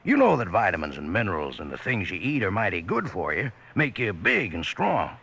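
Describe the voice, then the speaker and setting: An actor playing a hearty old cowboy announcer with a Western American accent and gravelly voice, giving a vintage radio pitch. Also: male voice, wildly swooping pitch, fairly quick, bright, forceful tone, heavily acted. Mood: hearty, folksy. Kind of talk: 1950s radio advertising pitch.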